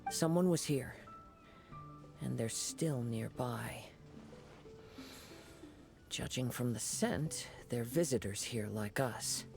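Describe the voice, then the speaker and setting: Slow, creaky, watchful young adventurer. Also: male voice, mid-range voice, music in the background. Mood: wary. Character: calm, watchful young male adventurer, gentle and reserved. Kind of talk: video game voice acting.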